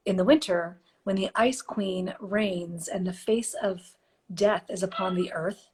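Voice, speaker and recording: A woman hosting a live stream, chatting and giving oracle card readings, her voice a little hoarse. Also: medium pitch, rough recording. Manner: warm, chatty, reads card texts softly. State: slightly hoarse, losing her voice. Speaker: podcast host and intuitive reader. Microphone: phone or computer mic for a live stream.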